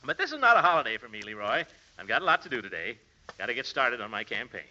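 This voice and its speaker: Pompous, blustering uncle, wildly swooping pitch. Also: male voice, very animated, quick pace, sharp tone, exaggerated voice. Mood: pompous, self-satisfied. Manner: pompous, blustering, nervous chuckles. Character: pompous, blustering middle-aged uncle running for office. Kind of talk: 1940s radio comedy acting. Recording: dull old-time radio recording.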